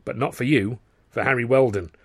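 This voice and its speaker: A man's voice: mid-range voice, brisk, slightly rough, no echo, studio quality. A man voicing a middle-aged male theatre impresario who is jovial but touchy, hesitant then snapping. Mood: probing.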